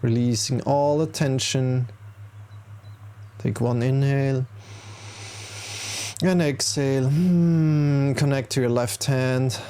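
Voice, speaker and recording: A man leading a guided meditation as a teacher, speaking calmly in short, unhurried instructions with pauses between them. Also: medium pitch, a little hiss or hum. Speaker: yoga nidra meditation teacher. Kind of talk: guided meditation, body scan.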